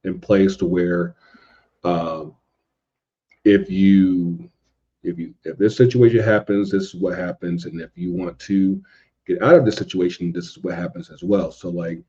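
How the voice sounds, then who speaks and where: A man chatting casually as a podcast guest, halting and circling back over his phrases. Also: sits low, warm tone, muffled recording. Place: indoors at home in a city.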